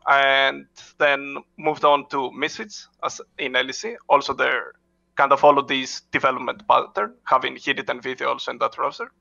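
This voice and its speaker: Gravelly, bright-voiced man, halting speech. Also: medium pitch, highs cut off. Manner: halting, frequent "uh" fillers and restarts, non-native phrasing. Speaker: head coach, interview guest. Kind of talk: casual interview answers.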